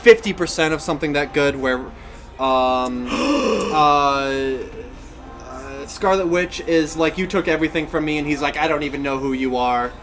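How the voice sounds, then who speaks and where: Rambling, higher-voiced man on a podcast. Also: a little slow, a bit bright, soft background music. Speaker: podcast co-host. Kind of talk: casual chat about games and films.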